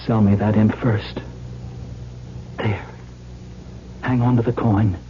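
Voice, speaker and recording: An actor playing a devoted, determined man in a radio drama, speaking with earnest, gently coaxing reassurance. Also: lowish voice, fairly even intonation, slow pace. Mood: reassuring, urgent. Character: devoted coin-collector lover, tender, reassuring, determined. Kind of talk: 1970s radio drama acting. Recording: muffled old radio recording, faint noise.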